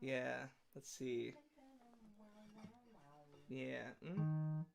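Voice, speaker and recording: A musician chatting casually between songs, rambling through quick asides with little laughs. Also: mid-range voice, creaky, darkish tone, soft background music. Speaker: musician performing a live set.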